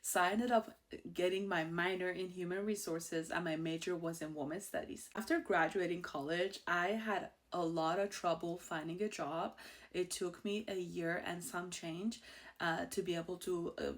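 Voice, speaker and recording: A woman's voice: fairly low pitch, fairly even intonation, moderate pace. A bubbly, outgoing woman in her thirties from Iran with an Iranian accent, hosting a casual personal monologue and laughing easily. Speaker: host.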